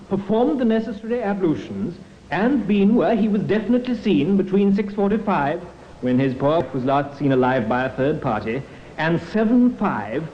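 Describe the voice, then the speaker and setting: Male defence barrister, measured and precise, slightly high, vintage radio drama, dull hissy sound. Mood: earnest pleading. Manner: formal, precise, rhetorical. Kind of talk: 1950s radio drama acting. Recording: muffled, hissy old radio recording.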